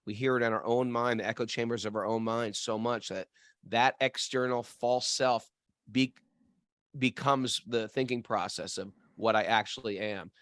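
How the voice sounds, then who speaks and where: A man's voice: mid-range voice, fairly even intonation, moderate pace, fairly bright tone, dry recording. Musing man in a dry room. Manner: musing, thinks aloud with restarts. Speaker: podcast co-host. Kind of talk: casual spiritual discussion. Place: home area in New England.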